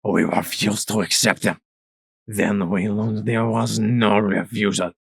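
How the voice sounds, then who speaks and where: A battle-worn male zebra soldier, voiced by a man, speaks slowly and gravely. His voice is low, husky and gravelly, and the recording is dry. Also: steady tone, dry recording, heavily acted. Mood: grim. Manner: slow, grave, measured. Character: weary male zebra soldier, grim survivor.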